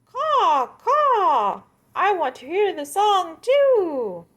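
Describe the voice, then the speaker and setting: A woman voicing a nosy talking crow in a children's story, loud and squawking with drawn-out caws. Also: extremely high pitch, huge pitch swings, pitched above the performer's own voice, exaggerated voice. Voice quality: cawing, squawking.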